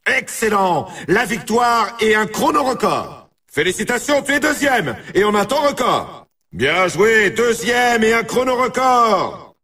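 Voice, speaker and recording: An excitable arcade snowboard race announcer, pitched high and swinging widely. He is brash and showy, punching out exclamations with a bright, forceful edge. It is big video game hype from start to end. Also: unhurried, breathy edge, distant mic, exaggerated voice. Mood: hyped, congratulatory.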